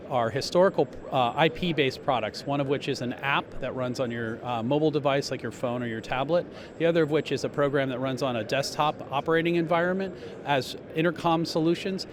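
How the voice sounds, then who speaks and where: Man explaining a product amid loud show-floor noise. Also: mid-range voice. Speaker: company spokesman being interviewed. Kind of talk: trade show product interview. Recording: noisy trade show floor.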